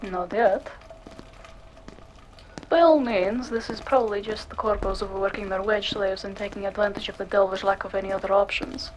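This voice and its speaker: A woman playing a curious, cool-headed cyberpunk investigator in a noir audio drama, measured and matter-of-fact. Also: mid-range voice, fairly even intonation, slight background noise, lightly acted, poor audio.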